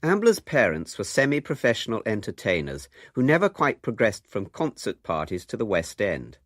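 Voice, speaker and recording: A man's voice: fairly low pitch, huge pitch swings, moderate pace, a bit bright, no echo, studio quality. A man with a British accent reading scripted narration for a radio documentary.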